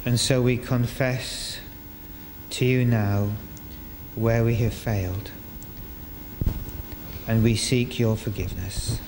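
An older man leading a church service, reading liturgy and prayers to a congregation in a measured, formal way, phrase by phrase. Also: medium pitch, fairly even intonation. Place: inside a parish church, congregation present. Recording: echoey church hall with noisy ambience.

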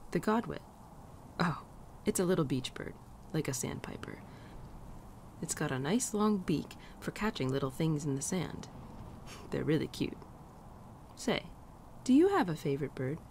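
A woman acts out a gentle female winter spirit, an affectionate old friend with a low voice. She speaks softly and playfully in an intimate ASMR roleplay, often slipping toward a whisper. Birdsong and a light outdoor ambience sit behind her. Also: gravelly, a little hiss or hum, full character voice. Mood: playful, teasing. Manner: soft, tender, playful, often dropping to near-whisper. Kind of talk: girlfriend ASMR roleplay, softly acted monologue.

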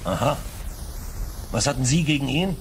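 A man's voice: mid-range voice, animated, normal speed, slight background noise, lightly acted. An actor playing a probing, insistent male chief police inspector in a German radio crime drama.

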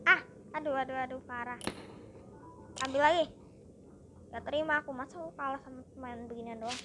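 A woman's voice: high voice, steady tone, brisk, noisy background. A young woman with an Indonesian accent giving casual let's play commentary as a gaming streamer.

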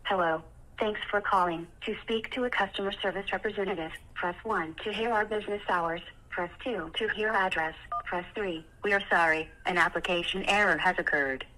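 A woman's voice: mid-range voice, moderate pace, bright, forceful tone. A woman's automated text-to-speech voice giving scripted phone prompts through a speakerphone. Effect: phone call on speaker. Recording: faintly noisy speakerphone playback.

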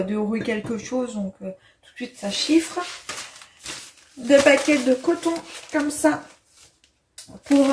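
A woman in her twenties chatting casually through a grocery haul in her home kitchen, unhurried, with many fillers and hesitations. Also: mid-range voice, darkish tone, slight background noise. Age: 20s. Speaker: vlogger. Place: bright home kitchen, indoors.

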